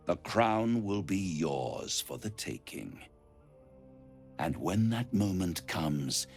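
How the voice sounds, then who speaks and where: An actor playing a suave, scheming devil in a video game, silky, grandiose and menacing, with music underneath. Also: male voice, exaggerated voice. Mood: coaxing, imperious. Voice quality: low, gravelly. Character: suave, scheming, gloating male devil making bargains. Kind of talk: dramatic video game acting.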